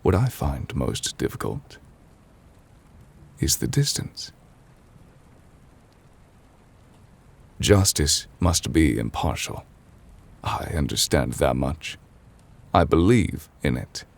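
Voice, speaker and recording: An ancient, immortal chief justice played by a man speaks in a very deep, husky voice for an ASMR roleplay. He is solemn and gentle, with formal, halting phrases that come very slowly, as if softly confiding. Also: very animated, exaggerated voice. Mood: weary, reflective. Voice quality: gravelly, husky, breathy.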